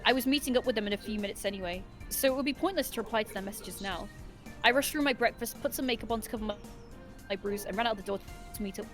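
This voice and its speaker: A woman's voice: fairly high pitch, quick pace. A woman reading a first-person visual novel story aloud as a stream co-host. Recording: music behind the voice. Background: music.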